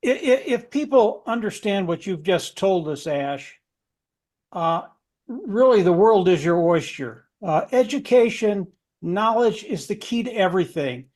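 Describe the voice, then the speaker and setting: High-pitched man giving an enthusiastic testimonial. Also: expressive, unhurried, darkish tone. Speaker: panelist.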